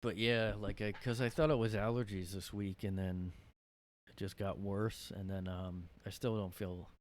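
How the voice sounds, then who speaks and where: Guy rambling on his podcast alone in his room, lots of um and like, kinda low voice, tired from being sick. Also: steady tone, moderate pace, a little mellow, a little hiss or hum. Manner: rambling, hesitant, many fillers. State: worn out after a cold. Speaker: podcast host. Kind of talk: casual solo monologue.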